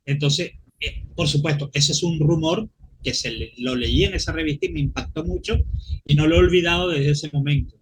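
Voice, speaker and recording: A man's voice: on the high side, normal speed, slightly rough, poor audio. A Venezuelan-accented man talking informally as an interview guest, detailed and often searching for the right words. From Maracay, Venezuela. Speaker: guest comics historian.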